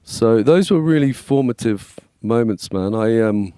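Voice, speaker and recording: An English man in his forties with a slightly scratchy voice, chatting casually as a podcast guest and telling stories in a relaxed way. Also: mid-range voice, unhurried, clean tone, no room sound, clean studio sound. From England. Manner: relaxed, storytelling, frequent "yeah, man". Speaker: guest, worship label manager. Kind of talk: casual conversation.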